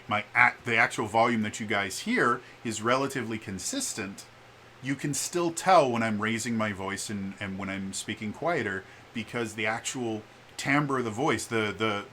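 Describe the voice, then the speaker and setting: Bright-voiced, expressive man vlogging. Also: mid-range voice. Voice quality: stuttering on words. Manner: expressive, emphatic delivery with shifting volume. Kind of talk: casual opinion vlog. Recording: background room noise.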